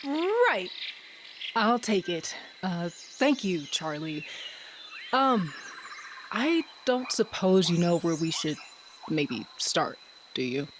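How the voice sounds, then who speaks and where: A woman's voice: fairly low pitch, very animated, takes it slowly, long pauses, a little hiss or hum. A woman playing a decisive, sardonic wife on a fantasy quest in an audio drama. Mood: polite, uncertain.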